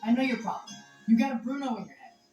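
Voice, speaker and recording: A young actor voicing a bold, confident, excitable older sea monster boy in animated film dialogue. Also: mid-range voice, fast talker, far from the mic, dull audio, lightly acted. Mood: cocky. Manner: brash, confident. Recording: echoey clip over music, off-mic.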